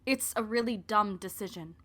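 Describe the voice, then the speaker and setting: A young woman narrating a scripted audio drama in a measured storytelling way with wry asides. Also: mid-range voice, a bit bright. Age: young adult. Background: clear music.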